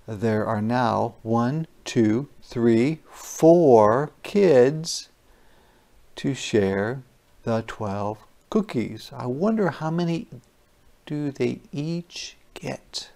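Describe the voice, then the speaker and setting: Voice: mid-range voice, drawn-out words, gravelly. A storyteller reading a picture book aloud to children, with playful, wondering asides. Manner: animated, playful wondering asides to young listeners. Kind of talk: interactive children's read-aloud.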